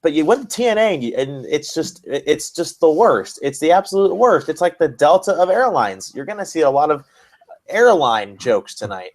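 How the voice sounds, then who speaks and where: A man's voice: on the high side, sing-song, moderate pace. A man hosting a casual wrestling podcast, ranting and bantering in an emphatic, sarcastic way. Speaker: podcast host.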